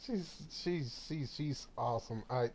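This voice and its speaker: Slow-talking excitable male game streamer. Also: medium pitch. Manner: excitable, halting, repeats words. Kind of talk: casual gameplay commentary.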